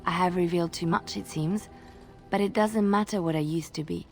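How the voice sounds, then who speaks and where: Guarded former-spy bard for a fantasy game, played by an actress: woman, slightly low voice, softly telling tales. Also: a little fast, soft background music, close to an everyday voice. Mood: wistful. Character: wistful, guarded young woman minstrel, former spy turned devout. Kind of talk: video game dialogue acting.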